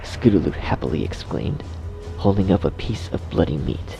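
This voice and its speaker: A man narrates a horror story from a script. His voice is low and dark, with a gravelly fry in it. Quiet music plays behind him. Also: animated, normal speed, rough recording.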